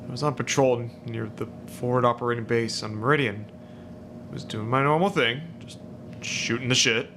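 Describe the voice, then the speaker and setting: A man playing a frightened marine private in a sci-fi audio drama, slangy and halting. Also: fairly even intonation, sharp tone. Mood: uneasy recounting. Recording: rumbling background noise.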